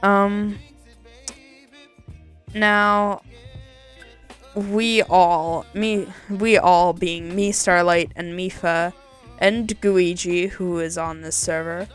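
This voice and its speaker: A woman hosting her gaming channel, talking casually over a time lapse with a slightly scratchy voice and a relaxed, rambling manner full of ums. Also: fairly low pitch, drawn-out words, long pauses, clean tone, soft background music.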